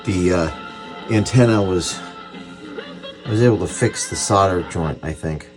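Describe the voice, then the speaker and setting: Male hobbyist repairer, very low voice, plainspoken and a bit grumbly, working indoors with radio music behind him. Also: a little slow. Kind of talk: casual hands-on repair commentary. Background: music from a radio being repaired.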